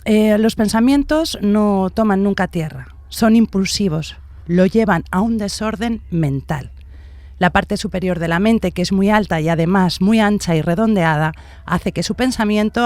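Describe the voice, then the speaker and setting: A woman's voice: mid-range voice, darkish tone, dry recording. Woman expert explaining. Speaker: expert guest, face-reading analyst.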